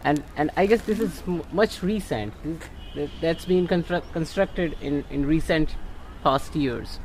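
A man guiding a friend around a ruined fort, speaking haltingly with many restarts. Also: a little high, a little mellow, slight background noise. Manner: halting, repeats and restarts words. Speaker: local friend and guide.

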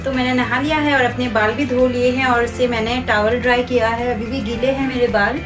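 A young woman calmly walks through a hair care routine step by step, speaking like a beauty vlogger in a small room. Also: a little high, a little fast, far from the mic, music in the background. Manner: calm, instructive, steady. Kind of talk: step-by-step tutorial.

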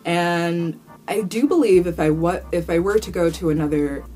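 A woman's voice: lowish voice, normal speed, warm tone. A young woman vlogger answering viewer questions to camera in a small room, chatty and rambling, cursing casually with lots of fillers. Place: small indoor room, plain wall.

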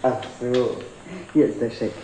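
Mellow middle-aged lady customer. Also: extremely low pitch, steady tone, measured pace. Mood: decisive, gracious. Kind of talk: 1960s German television drama acting. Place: indoor antique shop set. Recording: roomy, noisy old TV soundtrack.

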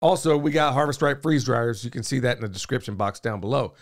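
A man, hosting a podcast. He sounds a bit hoarse and talks in a folksy, rambling way. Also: medium pitch, fast talker. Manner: folksy and talkative, says y'all, gestures a lot. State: hoarse, losing his voice. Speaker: podcast host. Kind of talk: casual podcast chat. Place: small podcast studio, indoors. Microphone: studio mic on boom arm, headphones on.

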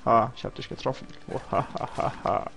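A man's voice: medium pitch, steady tone, fairly quick, gravelly, fairly bright tone, soft background music. A young man with a cold, casually rambling and joking to himself while commentating a video game. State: has a cold. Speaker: let's play host.

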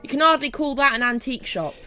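A woman's voice: high-pitched, lively intonation, fast, over music. A woman playing a sensible, exasperated British manager of two inept brothers in a comic audio drama, sarcastic and weary.

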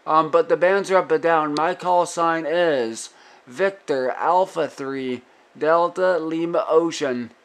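A man's voice: fairly high pitch, slow. A man working as an amateur radio operator, trading call signs and signal reports and repeating his phrases. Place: indoors at a radio desk. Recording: noisy room with radio static. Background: radio hiss and static.

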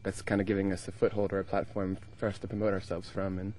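A young American man in a band answers questions in a low voice. He hesitates a lot, restarting his sentences and filling the gaps with ums. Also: monotone, quick pace, darkish tone. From United States. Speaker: band member. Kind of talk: casual interview. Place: indoor festival lounge.

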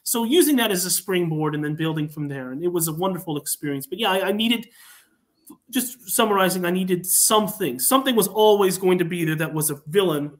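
A man talking enthusiastically as a writer guest on an online panel chat, telling his story in an animated way. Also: sits high, a little fast. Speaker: podcast guest, fan writer. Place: remote online call.

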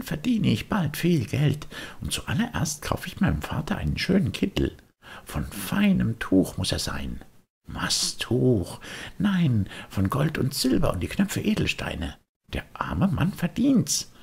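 A man's voice: mid-range voice, wildly swooping pitch, a little slow, creaky, breathy edge, pitched above the performer's own voice, full character voice. A man voicing a naive, eager little wooden puppet boy who chatters impulsively in a children's storybook. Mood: eager, dreamy.

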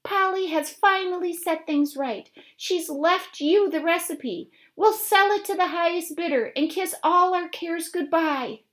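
A woman voicing an excitable, greedy middle-aged mother who keeps exclaiming. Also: a little slow, hardly any pauses. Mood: gleeful. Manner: shrill and exclaiming.

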